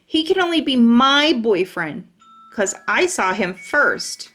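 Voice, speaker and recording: A woman's voice: on the high side, big pitch swings, slow pace, fairly bright tone, heavily acted. A woman voicing a bossy, huffy little schoolgirl who whines and demands. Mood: angry. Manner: whiny and demanding.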